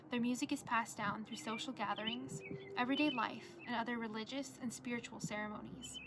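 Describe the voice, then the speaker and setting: A young woman, a college student, reading her own essay aloud as a presentation in an earnest, measured way. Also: a little high, noisy background. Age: young adult.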